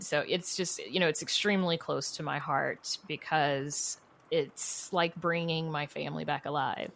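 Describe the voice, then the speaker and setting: Low-voiced woman chatting candidly. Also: gravelly, a bit bright. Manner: chatty, candid, informal, frequent "you know" and "like". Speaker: interview guest, writer and audio fiction producer. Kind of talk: casual conversational interview.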